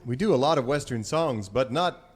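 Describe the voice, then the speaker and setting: A man's voice: mid-range voice, expressive, moderate pace, natural voice. An actor playing a polite, earnest singing-cowboy host, the folksy straight man of a comic western radio show. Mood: courteous, apologetic.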